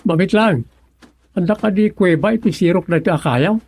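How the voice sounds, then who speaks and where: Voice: mid-range voice, a little fast, darkish tone, husky. An actor playing a wary younger villager in an Ilocano radio comedy drama, questioning and urging in an Ilocano accent.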